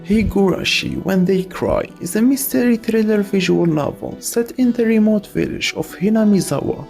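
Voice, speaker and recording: A man's voice: sits high, animated, normal speed, creaky, a little mellow, faint music behind. A man narrating a scripted video essay, giving opinionated reviews of games.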